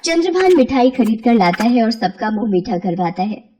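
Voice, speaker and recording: A woman narrates a children's moral tale from a script. Her voice is high and expressive, shaped as bedtime storytelling. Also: normal speed, a little hiss or hum.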